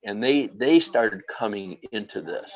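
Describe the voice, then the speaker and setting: Slow guy with a lowish voice, halting, lots of uhs, dull lo-fi audio. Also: expressive. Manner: slow, halting, with many fillers. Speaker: guest. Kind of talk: recounting a personal experience.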